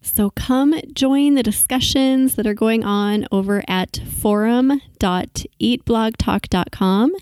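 A woman's voice: on the high side, slow, hardly any pauses, darkish tone, clear, resonant tone, dry recording, studio quality. A woman hosting a podcast, reading promos and introducing a guest with bubbly enthusiasm. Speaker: podcast host. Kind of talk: podcast ads and interview.

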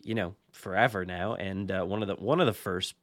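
Male podcast host, low voice, dry close sound, informal and rambling, keen on the subject. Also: fairly quick, dry recording. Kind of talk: casual podcast chat.

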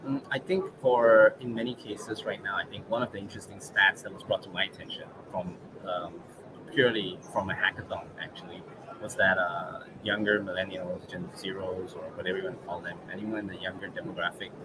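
Relaxed Malaysian man, thinking aloud. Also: deep voice, fairly quick, noisy background. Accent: Malaysian English. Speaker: guest, regional manager. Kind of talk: casual interview.

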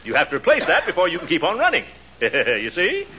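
A man's voice: a little high, wildly swooping pitch, brisk, bright, forceful tone, breathy edge, heavily acted. An actor playing a pompous, blustering middle-aged man who gets easily flustered, in 1940s radio comedy style. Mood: flustered pomposity. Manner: pompous, blustering, swooping exclamations. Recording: dull, noisy 1940s radio recording.

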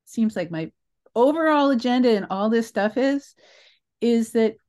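A woman's voice: on the high side, expressive, unhurried, a little mellow. A woman in her sixties talking in an interview as a theatre creator, telling anecdotes in a digressive, emphatic way. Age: 60s. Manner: rambling, digressive, emphatic storyteller. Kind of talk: conversational interview answers, anecdotal.

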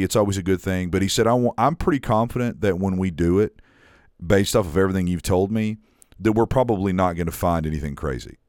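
A man with a very low voice tells a long, meandering story on a podcast, putting on the voices of the people in it. His talk is casual and full of fillers and the odd curse. The sound is close and dry, with no room around him. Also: darkish tone, no echo. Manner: rambling storyteller, acts out others' lines, swears casually. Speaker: podcast co-host.

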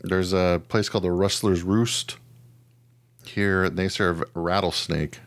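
A man's voice: low-pitched, fairly even intonation, measured pace, no room sound. A man hosting a podcast, chatting casually about odd news in a slangy, joking, profane way. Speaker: podcast host. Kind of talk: casual news chat with a co-host.

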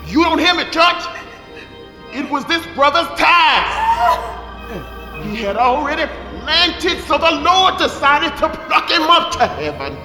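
A woman playing a fervent preacher delivering a rhythmic, theatrical funeral sermon with drawn-out, emphatic phrases. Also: high-pitched, sing-song, bright, forceful tone, heavily acted. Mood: fervent, exultant. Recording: echoey hall reverb with music behind.

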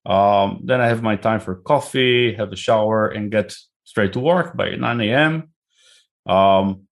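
A middle-aged man with an Israeli accent, a guest entrepreneur talking casually in an interview, often repeating words as he starts a thought. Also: fairly low pitch. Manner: repeats words when starting, chatty and relaxed.

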